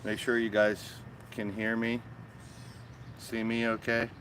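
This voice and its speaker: Raspy, lowish male host chatting live from a garden, small mic, faint outdoor hum. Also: completely flat delivery, takes it slowly. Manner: chatty, greets viewers by name. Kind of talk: casual live-stream chat. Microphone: small phone-mounted microphone.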